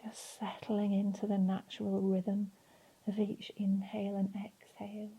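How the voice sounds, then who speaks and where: A woman leading a guided relaxation in a soft, breathy, soothing voice, leaving long pauses between phrases. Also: medium pitch, completely flat delivery, poor audio. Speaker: meditation and relaxation instructor.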